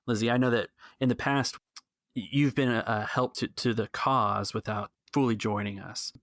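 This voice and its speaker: A man playing a protective, cautious rebel organiser in a tabletop game, reassuring and measured but halting. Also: mid-range voice, steady tone, a bit bright, no room sound, studio quality, natural voice. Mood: earnest, planning.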